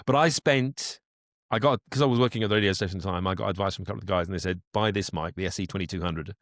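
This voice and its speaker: Older British man, low voice, dry sound. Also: racing, dry recording. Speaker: voice actor, interview guest. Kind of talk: casual interview talk, explaining practical advice.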